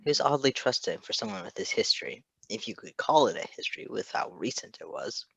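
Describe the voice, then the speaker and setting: Male story reader, lower register, dry close sound, slightly muffled lo-fi recording. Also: lively intonation, brisk, vocal fry, fairly bright tone, husky, no echo. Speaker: fan fiction reader. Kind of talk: narrated story reading with casual asides.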